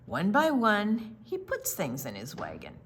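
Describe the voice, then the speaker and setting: Slow, highly expressive woman reading a storybook. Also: a little hiss or hum. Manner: gentle, dramatic pauses, drawn-out words. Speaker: storytime reader. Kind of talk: reading a picture book aloud for young children.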